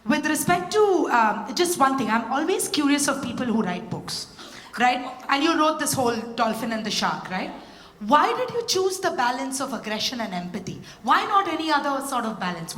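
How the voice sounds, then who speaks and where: High, lively woman interviewer. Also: normal speed. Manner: hesitant, repeats words before questions. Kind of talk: onstage interview questions. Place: large echoey hall at a live forum. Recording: echoey hall reverb.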